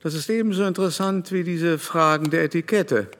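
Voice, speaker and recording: An actor playing a refined, haughty, devout French baron in a German radio play, speaking in a mannered, pompous way. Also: male voice, on the high side, fairly quick, fairly bright tone, in a large hall, close to an everyday voice. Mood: amused, admiring. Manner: mannered, pompous, ornate phrasing.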